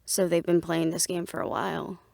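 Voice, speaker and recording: A woman acting a guarded, businesslike plotter in an audio roleplay drama, speaking in a measured, serious way. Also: on the low side, flat delivery, vocal fry, darkish tone, no echo, studio quality.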